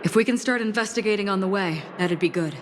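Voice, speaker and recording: A woman voicing a stoic, guilt-burdened swordswoman in a video game, speaking gravely and in a measured, hesitant way. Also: mid-range voice, a little hiss or hum, lightly acted. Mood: polite. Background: background music.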